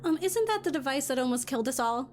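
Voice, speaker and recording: A woman's voice: high-pitched, expressive, fast talker, a little hiss or hum. A woman playing a sweet, needy, clinging emotion spirit in an audio drama, theatrical and pleading. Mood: timid, uneasy.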